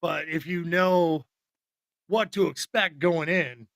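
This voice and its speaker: A man's voice: a little high, slow pace, gravelly, fairly bright tone, dry recording, studio quality. A man co-hosting a podcast, talking casually about films in a rambling, informal and opinionated way. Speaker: podcast co-host. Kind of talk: casual film chat.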